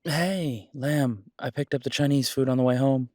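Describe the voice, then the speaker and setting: A man playing a protective, worried werewolf detective boyfriend in an intimate roleplay audio drama, speaking softly and reassuringly with hesitant pauses. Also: medium pitch, a little hiss or hum, lightly acted. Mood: upbeat.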